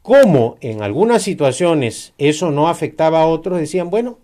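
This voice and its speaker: A middle-aged Peruvian man talks politics in an interview, pressing his points hard. His pitch moves up and down a lot, and he stops often on fillers before going on. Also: expressive, moderate pace, warm tone. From Peru. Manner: emphatic, argumentative, many hesitations. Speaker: political guest.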